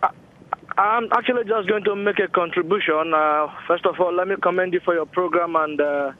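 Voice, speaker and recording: A man calling a talk show by telephone, giving measured commentary in a formal, deliberate way with pauses. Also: high voice. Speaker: phone-in caller. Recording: narrow-band telephone line.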